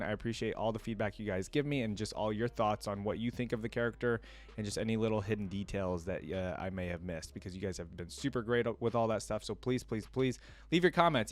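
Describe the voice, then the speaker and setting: A man hosting a reaction video, giving casual commentary in an informal, chatty, rambling way. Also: medium pitch.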